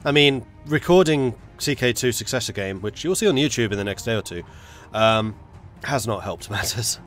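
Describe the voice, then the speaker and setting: A man's voice: medium pitch, lively intonation, normal speed, a bit bright, faint music behind. A man with a cold, congested as he chats casually with viewers while thinking aloud through a strategy game. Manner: chatty, muttering to himself while planning. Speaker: gaming streamer. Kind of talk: casual live gameplay commentary.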